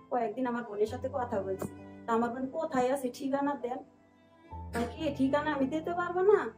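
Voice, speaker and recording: A woman's voice: high voice, darkish tone. A woman with a regional Bangladeshi accent giving personal testimony in a plain-spoken, repetitive way. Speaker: guest telling of a lost younger sister. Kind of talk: emotional personal testimony in conversation.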